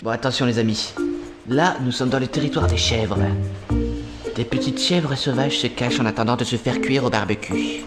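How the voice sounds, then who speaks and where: A man's voice: mid-range voice, normal speed, pitched below the performer's own voice, natural voice. A man voicing a cocky, foul-mouthed cartoon goat hunter with a theatrical, swaggering, mock-serious delivery. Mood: hushed mock-serious. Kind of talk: cartoon mock-adventure narration in French. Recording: music behind the voice. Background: music.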